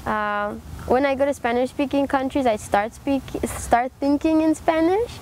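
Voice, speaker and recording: A young university student talking in a thoughtful, measured way in an interview. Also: female voice, high voice, a little mellow, slight background noise, low-quality recording. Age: young adult.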